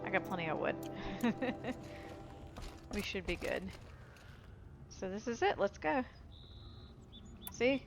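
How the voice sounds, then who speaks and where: A woman's voice: medium pitch, big pitch swings, slow pace, vocal fry, long pauses. A woman giving casual commentary as she plays a video game. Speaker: video game let's player. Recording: loud game music underneath. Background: game music.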